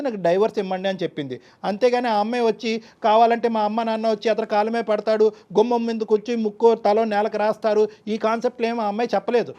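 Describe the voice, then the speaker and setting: Fast-talking, emphatic counselor woman. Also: fairly high pitch, warm tone. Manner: admonishing, emphatic, wordy with rhetorical questions. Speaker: counselor mediating a couple's dispute. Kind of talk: spontaneous counseling talk on a show.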